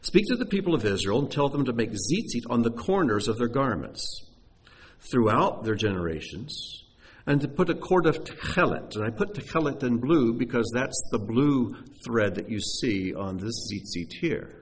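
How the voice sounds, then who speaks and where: A man delivers a teaching sermon with a mid-pitched voice that moves freely through a wide, lively range. He presses hard on key phrases, then sinks almost to a hush. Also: normal speed, slight background noise. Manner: animated, emphatic teaching with sudden drops to near-hushed voice.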